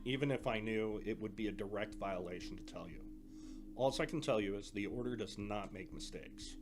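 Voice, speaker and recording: A man playing an earnest older brother who trains recruits at a military academy, explaining things in a measured way in an audio drama. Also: on the low side, over music, natural voice. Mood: firm, reassuring.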